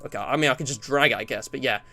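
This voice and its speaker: A man's voice: medium pitch, very fast, vocal fry, sharp tone. A twenty-year-old English man chatting casually on a livestream, rambling and often interrupting himself as he reads and comments. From England. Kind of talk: casual livestream chat, reading out survey and viewer messages.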